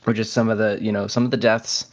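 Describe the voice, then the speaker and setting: A man's voice: lowish voice, level tone, fast talker. A man co-hosting a podcast in a casual, chatty style. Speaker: podcast co-host. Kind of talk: casual chat. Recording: dull, lo-fi audio.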